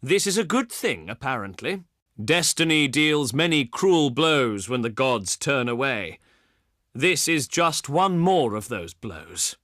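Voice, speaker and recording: An actor playing a haughty, scornful ancient Greek diplomat in a video game, with a pompous, sarcastic, declamatory delivery. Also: male voice, medium pitch, big pitch swings, takes it slowly, fairly bright tone, dry recording, clean studio sound. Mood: dismissive.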